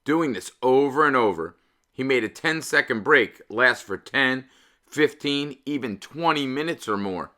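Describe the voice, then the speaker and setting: A man in his fifties presents picture books aloud for children. His voice is medium in pitch with a bright, forceful edge. He phrases expressively and leans on key words. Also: expressive, a little slow. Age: 50s. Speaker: read-aloud host.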